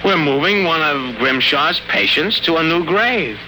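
A sneering wise-guy hearse driver, his voice lively and a bit high as he talks back brashly. The old radio broadcast carries clear noise. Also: unhurried, full character voice, poor audio. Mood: surly.

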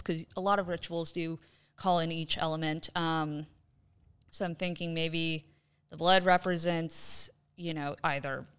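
Woman, lowish pitch, smooth clear voice, casual podcast talk, thinking aloud. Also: fairly bright tone. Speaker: podcast co-host, quiz contestant.

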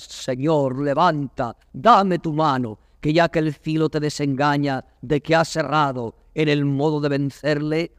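An actor playing a proud young prince who addresses his court in classical Spanish verse, declaiming with a Castilian accent. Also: fairly high pitch. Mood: solemn, humbled. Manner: declamatory, stately oratory. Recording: reverberant hall, vintage studio drama record.

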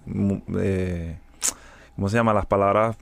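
A young adult man with a Venezuelan Spanish accent, a music producer speaking as a podcast guest in a relaxed, halting way, with frequent restarts and English words mixed in. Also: sits low, creaky, dry recording. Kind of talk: casual podcast conversation.

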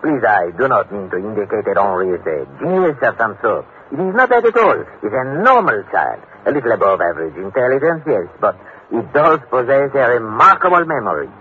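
Suave French gentleman, animated played by a man. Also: mid-range voice, fairly quick, lightly acted. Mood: grave, confiding. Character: suave, wary French gentleman, father of a young boy. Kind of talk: 1950s radio drama acting. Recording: tinny, hissy old radio broadcast.

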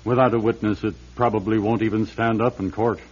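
An actor playing a genial, tactful aging bishop in a radio drama, with a husky avuncular voice on a muffled recording. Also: male voice, lowish voice, brisk. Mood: curious. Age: older. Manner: avuncular, hesitant, animated.

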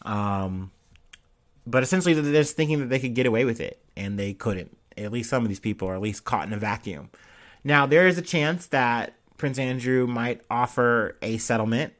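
A man hosts a casual news-of-the-week podcast in a loose, irreverent way. His voice sits at an ordinary middle pitch. He fills his talk with ums and jokes while he smokes and drinks. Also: normal speed. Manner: drinking and smoking while talking. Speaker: podcast host.

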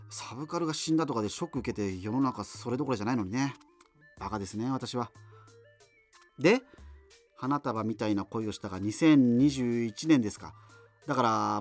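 An actor playing a self-deprecating, subculture-obsessed radio host, rambling through a monologue with apologetic asides in a radio drama. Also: male voice, mid-range voice, close to an everyday voice. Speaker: radio show host answering listener letters.

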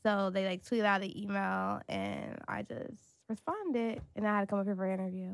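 A woman's voice: medium pitch, moderate pace, gravelly, dry recording. A young woman from North Carolina, raised in Washington DC, chatting casually as a guest and rambling with many fillers. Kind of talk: casual interview chat.

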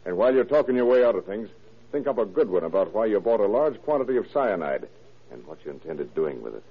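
An actor playing a gruff, probing police detective with clipped, dryly sarcastic delivery in a 1940s radio crime drama. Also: male voice, fairly low pitch, sing-song, fast talker. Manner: clipped, commanding, questioning. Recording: muffled, band-limited old radio recording.

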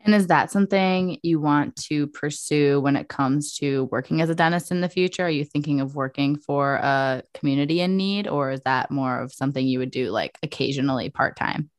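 A woman's voice: low voice, fairly quick, few pauses, no room sound, clean studio sound. A young woman hosting a podcast, asking upbeat, friendly questions in a casual chat. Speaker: podcast host.

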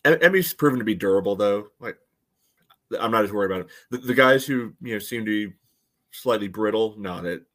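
A man co-hosting a sports podcast, giving his views on soccer in a measured, matter-of-fact, conversational way. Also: fairly low pitch, lively intonation, a bit bright. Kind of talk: casual soccer chat.

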